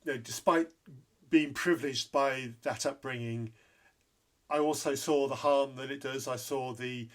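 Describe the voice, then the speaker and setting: A man's voice: mid-range voice, fairly even intonation, a little slow, a bit bright. A man hosting an interview podcast, who grew up in Zambia, speaking candidly and repeating points for emphasis. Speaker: podcast host.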